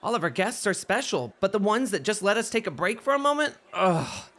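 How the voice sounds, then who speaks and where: Man acting as a high, lilting, coy bunny boy. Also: very animated, fairly quick, fairly bright tone, full character voice. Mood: teasing. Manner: coy, teasing, playful. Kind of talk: ASMR roleplay acting.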